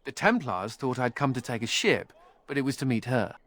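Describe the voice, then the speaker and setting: Wry fugitive mage, dry sound. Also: male voice, medium pitch, lively intonation, normal speed, fairly bright tone, dry recording. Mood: wry, uneasy. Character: wry fugitive male mage, flippant yet guarded. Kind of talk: video game voice acting.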